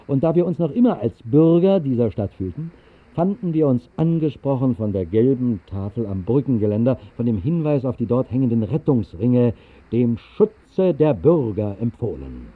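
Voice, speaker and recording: A man narrating a scripted literary radio play in a measured, articulate way with deliberate emphasis. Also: mid-range voice. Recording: muffled, narrow-band 1960s radio recording.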